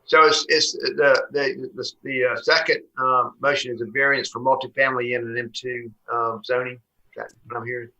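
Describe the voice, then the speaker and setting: A man, probably in his sixties, chairing a public meeting as board chairman in a halting way, searching for words. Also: medium pitch, level tone, sharp tone, highs cut off.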